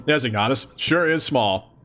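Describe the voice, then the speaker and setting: A playful, chatty man reading a webcomic aloud and commenting casually on a livestream, often giggly and thinking out loud. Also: medium pitch, very animated, slow pace, faint music behind. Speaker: streamer.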